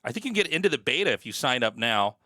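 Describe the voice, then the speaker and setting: A man's voice: medium pitch, big pitch swings, very fast, bright, forceful tone, dry recording, clean studio sound. A man hosting a gaming channel, giving casual reaction commentary as a streamer.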